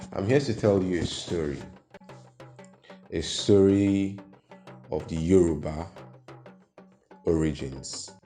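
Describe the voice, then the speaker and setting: A man from Nigeria, hosting his own channel and explaining folklore to viewers in a measured way, often pausing on fillers. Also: a bass voice, dark tone. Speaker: YouTube host. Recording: echoey room with faint music.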